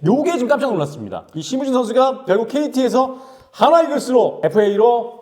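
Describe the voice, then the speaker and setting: High, lively-voiced male host. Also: fast. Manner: frequent short acknowledgements, reads out figures. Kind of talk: casual talk show hosting.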